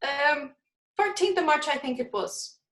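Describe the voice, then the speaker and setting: A nervous, flustered storybook hatter, acted by a stage reader, speaking in a high, apologetic voice. The speech is hesitant and stammering, with a roomy echo around it. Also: unhurried, fairly bright tone.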